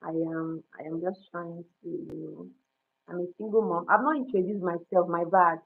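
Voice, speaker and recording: Nigerian woman in her 30s, Igbo native, casual livestream host, halting, soft-spoken, phone-quality sound. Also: lowish voice, fairly even intonation, creaky, slight background noise. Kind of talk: casual livestream chat with viewers. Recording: tinny phone-like audio.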